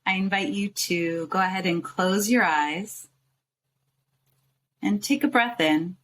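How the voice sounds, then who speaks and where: A woman leading a guided visualization in a soothing, gently coaxing way, with short phrases and pauses. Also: mid-range voice. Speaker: meditation guide and show host.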